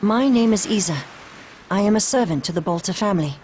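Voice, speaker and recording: An actress playing a polite, gentle household servant in a video game, speaking with formal courtesy. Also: female voice, medium pitch, lively intonation, fairly quick, faint music behind, close to an everyday voice. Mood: welcoming, polite. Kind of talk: video game cutscene acting.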